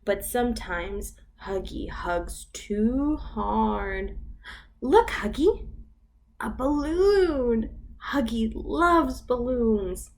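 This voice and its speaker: Slow, sing-song woman reading to babies. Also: a little high, lively intonation. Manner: slow, sing-song and exaggerated, with gasps and whispers. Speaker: children's librarian. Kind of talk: baby storytime reading.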